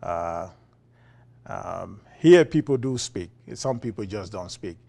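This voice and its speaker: A man with a Liberian accent, a philosophy professor being interviewed, talking in a relaxed way with frequent fillers and chuckles. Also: low voice, slow, creaky, slightly rough. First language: Liberian English. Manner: chuckling, many uh fillers and restarts. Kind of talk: conversational interview.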